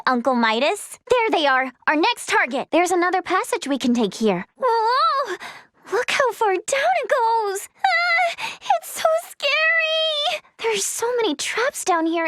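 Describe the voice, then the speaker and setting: A woman voicing an earnest, eager, slightly nervous young girl adventurer in a video game, with a girlish, expressive delivery. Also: sits high, no echo, studio quality. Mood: frightened. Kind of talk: video game voice acting, animated anime-dub delivery.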